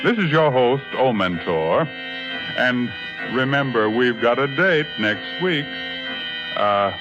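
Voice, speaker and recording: An actor playing a mysterious, theatrical host who announces science fiction tales deliberately on 1950s radio. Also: male voice, medium pitch, animated. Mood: portentous. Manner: dramatic, deliberate. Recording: dull 1950s radio with loud music.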